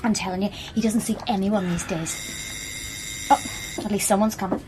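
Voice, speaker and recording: A woman's voice: mid-range voice, fairly even intonation, normal speed, natural voice. An actress with an Irish accent playing the caring, frank partner of a sick man in a naturalistic radio play. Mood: welcoming, slightly strained. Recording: clearly audible background noise, clicks.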